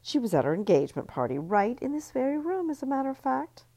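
A woman's voice: sits high, big pitch swings, fast, dark tone, pitched above the performer's own voice, full character voice. A woman reading an audiobook, voicing a smug rich young mother who gloats with theatrical flair. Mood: gloating reveal.

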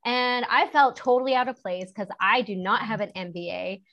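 A woman in her thirties, a guest entrepreneur, telling stories in a casual interview, chatty with many fillers and animated retellings. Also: fairly high pitch, moderate pace. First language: English.

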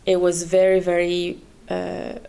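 French canadian woman talking as an interview guest, lots of uhs and repeats, flat gravelly voice. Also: fairly low pitch, takes it slowly. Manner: many fillers and repeated words. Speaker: head of HR, guest. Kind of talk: interview chat.

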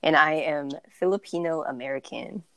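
A woman's voice: sits low, moderate pace, no echo. A nineteen-year-old woman co-hosting a podcast, chatting playfully and teasing her friends. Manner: playful, teasing, laughs easily. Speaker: podcast co-host. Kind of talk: casual podcast chat.

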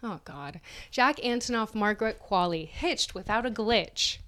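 A woman's voice: mid-range voice, animated, a little slow, a bit bright, clean tone. A young woman co-hosting a podcast, chatting casually about celebrity gossip and giggling often. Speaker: podcast co-host.

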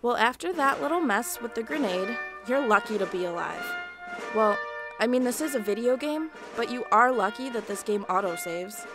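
A woman's voice: a little high, lively intonation, moderate pace, music in the background. A woman playing a sarcastic, bossy military training instructor in a video game, in a comic audio drama. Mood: sardonic. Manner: sardonic, bossy, condescending.

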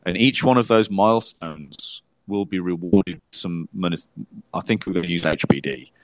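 A man's voice: low-pitched, normal speed, no room sound, poor audio. A man co-hosting a casual podcast, laying out plans at length, with fillers and restarts. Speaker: podcast co-host.

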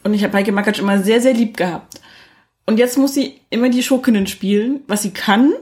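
A woman's voice: on the high side, fairly quick, a little mellow. A woman co-hosting a podcast, giving her take on films in casual conversation. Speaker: podcast co-host. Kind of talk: casual film review chat.

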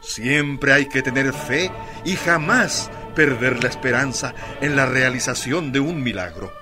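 A man's voice: medium pitch, a little slow, over music. A man narrating a scripted story in a solemn, declamatory manner.

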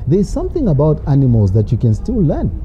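A woman's voice: low-pitched, huge pitch swings, fast. A woman guest in an interview, explaining her views at length and posing rhetorical questions. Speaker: literacy advocate guest. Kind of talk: interview answers. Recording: dull lo-fi with faint noise.

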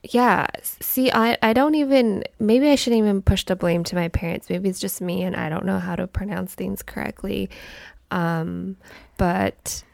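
A young woman co-hosting a casual chat podcast, whose first language is Chinese, telling stories in a chatty, animated way. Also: medium pitch, normal speed, vocal fry, no echo, studio quality. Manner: chatty, animated storytelling with laughs and restarts. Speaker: podcast co-host.

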